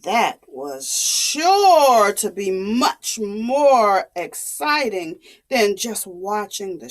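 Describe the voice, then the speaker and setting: Woman, children's storyteller, high voice, very slow pace, dramatic, expressive, swooping intonation. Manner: dramatic, expressive, deliberate delivery. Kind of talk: animated storytelling for young children.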